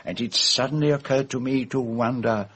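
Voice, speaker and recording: An actor playing a fussy, shrewd foreign gentleman detective, speaking in precise, formal phrases in a radio drama. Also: medium pitch, normal speed, slightly rough. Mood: dramatic deduction. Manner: precise, theatrical, formal phrasing with French forms of address.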